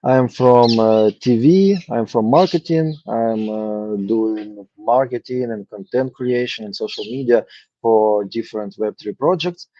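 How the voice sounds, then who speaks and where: A man from Ukraine pitching his startup on a video call, halting and full of fillers. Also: mellow, no echo. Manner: halting, many uh fillers and restarts. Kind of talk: hesitant online pitch. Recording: dull lo-fi call audio.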